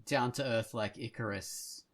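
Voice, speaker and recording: A man hosting a podcast, narrating scenes and chatting casually, pausing to search for words. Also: medium pitch. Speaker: podcast host.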